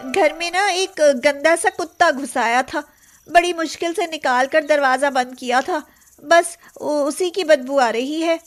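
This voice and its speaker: A woman's voice: sits high, unhurried, a little hiss or hum. A woman playing a young, sly yet sweetly deferential daughter-in-law in an acted moral story. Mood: flustered, covering up.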